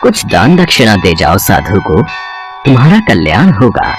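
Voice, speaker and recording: A man's voice: lowish voice, huge pitch swings, moderate pace. A man voicing a solemn, mystical holy man in a cartoon story, portentous and benevolent. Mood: knowing. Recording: music and bells behind voice.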